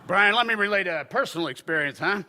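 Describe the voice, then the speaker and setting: An actor playing a blunt newspaper editor in a radio drama. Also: male voice, sits high, expressive, fast, pushed and bright, big-room sound, slight background noise, exaggerated voice. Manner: blunt and forthright.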